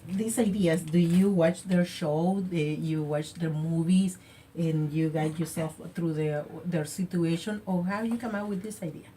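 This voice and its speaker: A woman hosting a radio interview, hoarse from illness, speaking haltingly with a Spanish first language. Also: fairly low pitch, steady tone, dark tone, a little hiss or hum. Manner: halting, slips in her wording. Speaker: radio show host.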